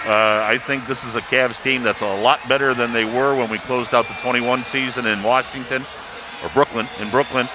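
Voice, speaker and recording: A male sports announcer speaks at a middle pitch in a measured, explanatory way. He sounds like he is on a casual panel, with clear arena noise around him. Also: steady tone. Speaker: radio play-by-play announcer on a panel. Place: large indoor arena. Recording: noisy arena ambience behind.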